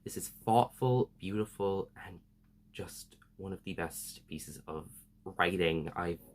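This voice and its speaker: A man's voice: low voice, slow pace. A man hosting a semi-scripted explainer vlog, speaking in an earnest, emphatic and enthusiastic way. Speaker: video host.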